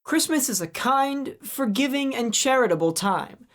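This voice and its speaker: An actor playing a cheerful, earnest young nephew who pleads warmly with his uncle. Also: extremely high pitch, animated, unhurried, heavily acted. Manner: warm, pleading, upbeat.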